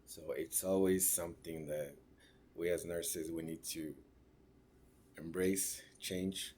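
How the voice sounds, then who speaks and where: A man gives a class presentation. He pauses often and searches for words, checking in with short tag questions as he goes. A faint hiss of noise sits under the recording. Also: deep voice, steady tone, darkish tone. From Mexico (raised in Ciudad Juárez). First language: Spanish. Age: 30s. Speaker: registered nurse.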